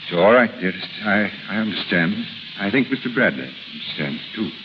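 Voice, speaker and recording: A middle-aged man acting in a 1930s radio drama as a proud, wounded husband with formal, halting speech. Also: on the low side, expressive, natural voice. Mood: resigned. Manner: formal, halting under emotion. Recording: noisy, sizzling 1930s radio recording.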